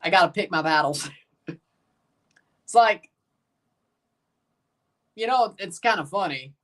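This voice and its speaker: A man streaming a live match watchalong, chatting casually and calling goals with excitement while guarding a strained voice. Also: high-pitched, slow, recorded from a distance.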